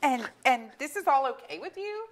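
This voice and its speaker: A woman's voice: sits high, animated, moderate pace, a little hiss or hum. A woman playing a blunt, teasing middle-aged wife in a staged comedy reading. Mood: incredulous, curious. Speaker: actor in a staged play reading. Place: museum auditorium stage.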